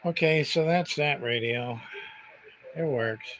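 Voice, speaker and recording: A man's voice: mid-range voice, slow pace, fairly bright tone, low-quality recording. A man hosting a casual livestream demonstration, thinking aloud in a hesitant, rambling, muttering way. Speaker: livestream host, CB radio repairer. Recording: clear background noise.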